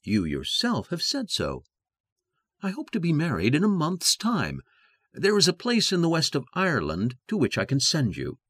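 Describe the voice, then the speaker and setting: An actor playing a brooding, passionate gentleman in love, with theatrical, intense delivery in a dramatized classic novel. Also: on the high side, huge pitch swings, normal speed, slightly rough, no room sound, clean studio sound, full character voice. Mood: grave, teasing.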